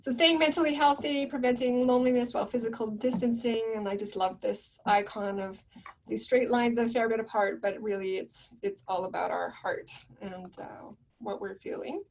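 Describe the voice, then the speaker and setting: Soft-spoken woman presenting a webinar. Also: a little high, steady tone, moderate pace, recorded from a distance, low-quality recording. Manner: soft-spoken, gentle and reflective.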